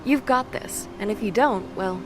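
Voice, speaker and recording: A woman's voice: medium pitch, normal speed, background noise, natural voice. A woman playing a stoic young seer and warrior goddess, wry, guarded and nonchalant, in an audio drama. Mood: wry, casual.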